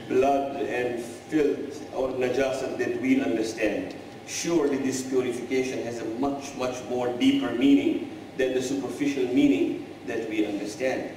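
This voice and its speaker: A middle-aged man, a cleric, giving a religious lecture in a mosque hall, speaking in a measured, emphatic way and reciting Arabic verses. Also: medium pitch, mellow, a little hiss or hum. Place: large mosque hall, indoors. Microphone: clip-on mic. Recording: echoey hall, mic far from the voice.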